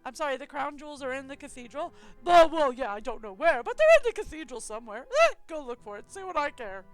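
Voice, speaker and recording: High-voiced woman streamer, chatty and sarcastic, soft game music behind. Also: big pitch swings, quick pace, poor audio. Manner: chatty, sarcastic, self-mocking. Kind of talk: live game playthrough commentary.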